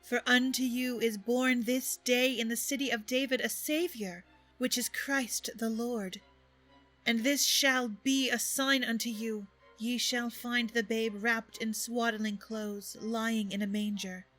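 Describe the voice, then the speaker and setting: A woman reading scripted narration as announcer in a measured, formal way. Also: fairly high pitch, fairly even intonation, fairly bright tone, soft background music, rough recording.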